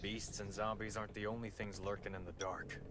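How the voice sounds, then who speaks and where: Grim, flat-voiced sentry played by a man. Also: on the low side, fairly quick, noisy background, close to an everyday voice. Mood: grim warning. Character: grim, vigilant male sentry. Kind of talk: video game dialogue.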